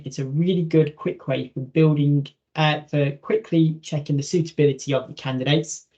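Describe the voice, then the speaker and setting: A man presenting a webinar, explaining a software feature step by step in an instructional style. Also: fairly high pitch. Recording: dull, lo-fi online audio.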